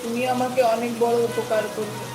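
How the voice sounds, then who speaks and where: A woman's voice: fairly high pitch, flat delivery, a little fast, lightly acted. Cartoon casting: grateful, frail old granny, voiced by a woman, weary delivery, echoey room sound. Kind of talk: Bengali moral-story cartoon dub. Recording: echoey, loud music and rain behind.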